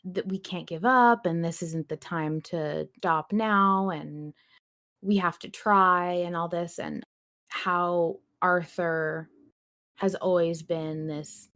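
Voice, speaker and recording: A woman with a slightly low voice co-hosts a fan podcast. She talks casually and earnestly, putting real emphasis on her opinions as she goes. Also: a bit bright. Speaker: podcast host. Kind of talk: casual fan discussion of an audio drama.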